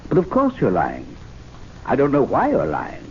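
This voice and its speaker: An actor playing an assured, patronizing gentleman who presides over a club, in measured old-time radio drama style. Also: medium pitch, wildly swooping pitch, vocal fry. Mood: condescending, incredulous. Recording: muffled, noisy vintage radio recording.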